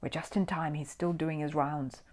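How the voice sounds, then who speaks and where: A woman's voice: sits low, fairly even intonation, moderate pace, darkish tone. Woman voicing a bemused, plain-spoken hospital porter. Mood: hushed. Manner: colloquial, matter-of-fact, patient. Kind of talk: children's storybook character voice.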